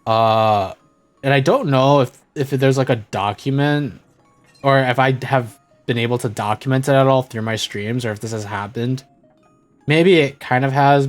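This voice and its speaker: A young man chatting casually as a streamer, rambling and correcting himself, often trailing off quietly. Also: medium pitch. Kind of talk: casual rambling chat while gaming.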